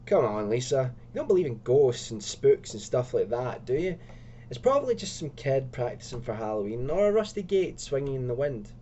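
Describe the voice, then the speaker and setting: Brash young adventurer, acted by a man: emphatic, animated storybook delivery, mellow tone. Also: mid-range voice, fairly quick, slight background noise, close to an everyday voice. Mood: dismissive.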